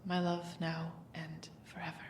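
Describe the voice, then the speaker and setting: Royal wedding officiant voiced by a woman, calm ceremonial phrasing, far from the mic with faint noise. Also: deep voice, reverberant. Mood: solemn. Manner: formal, measured phrases. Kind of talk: audio roleplay drama acting.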